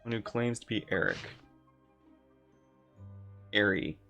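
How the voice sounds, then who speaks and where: A man's voice: fairly low pitch, slow pace, vocal fry, sharp tone, faint music behind. A man giving casual live reaction commentary as a streamer, in short laconic remarks.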